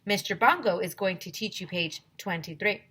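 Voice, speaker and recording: A woman's voice: medium pitch, normal speed, fairly bright tone, slightly rough. A woman voicing a playful, evasive female schoolteacher in a children's storybook. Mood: breezy.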